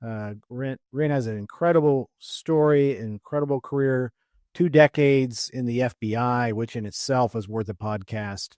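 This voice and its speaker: A man's voice: medium pitch, normal speed, darkish tone, no room sound, clean studio sound. A man hosting a podcast, opening with upbeat welcoming patter before moving into the interview. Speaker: podcast host.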